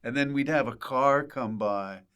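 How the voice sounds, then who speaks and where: A man's voice: mid-range voice, unhurried, a bit bright, clear, resonant tone, muffled recording. A man hosting a podcast in casual, jokey conversation, informal and playful. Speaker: podcast host. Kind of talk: casual comic podcast chat.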